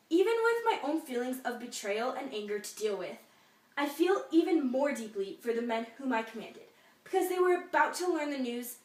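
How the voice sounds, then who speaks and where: A woman's voice: on the high side, lightly acted. A woman playing a boastful, bitter dismissed male Civil War general in a pompous, formal soliloquy. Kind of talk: memorized history class soliloquy. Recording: echoey room, distant microphone.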